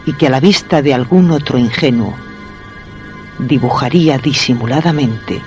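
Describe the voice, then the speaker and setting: An actor narrating a macabre suspense radio drama with a Spain accent, scary music behind him. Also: male voice, mid-range voice, moderate pace, a little mellow, highs cut off, natural voice. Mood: ominous. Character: storyteller of a macabre horror tale.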